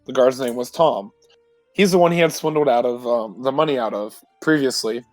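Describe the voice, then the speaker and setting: A man informally recapping a previous tabletop roleplay session as a player, in a halting, self-correcting way. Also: medium pitch, no echo. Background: music.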